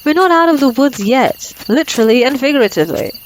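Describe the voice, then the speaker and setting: A woman's voice: high voice, animated, fast talker, slight background noise, rough recording. Woman acting a teasing female vampire, night insects behind. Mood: smug, teasing. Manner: teasing, sardonic, taunting. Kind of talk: ASMR roleplay acting.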